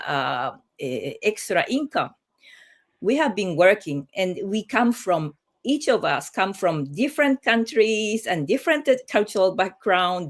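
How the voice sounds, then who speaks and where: Slow, halting Japanese-accented woman hosting. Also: fairly low pitch. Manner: halting, searching for words. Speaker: host of a group livestream. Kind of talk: casual livestream introduction.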